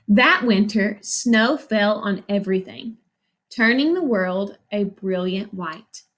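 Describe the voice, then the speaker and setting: A woman's voice: mid-range voice, takes it slowly, fairly bright tone. A woman teacher reading a picture book aloud to children, explaining as she goes and asking questions that she then answers. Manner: instructive, asks questions and answers them. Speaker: elementary school teacher.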